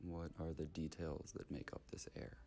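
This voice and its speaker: A man leading a guided meditation in short, unhurried, soothing phrases with long gaps between them. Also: extremely low pitch, completely flat delivery, warm tone, a little hiss or hum.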